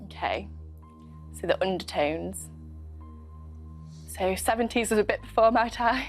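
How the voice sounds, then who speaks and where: A woman's voice: medium pitch, animated, unhurried, soft background music. A woman of twenty, a medical student competing on a quiz show, giggling and thinking aloud as she hesitates. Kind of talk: game show chat.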